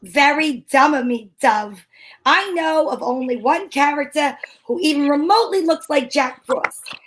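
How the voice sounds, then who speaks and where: A woman's voice: sits high, measured pace, fairly bright tone, slight background noise. A woman playing a chatty, wisecracking gal in old-time radio comedy, with a put-on accent. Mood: wisecracking, breezy. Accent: put-on character accent. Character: Candy, chatty wisecracking woman, friend of a photographer.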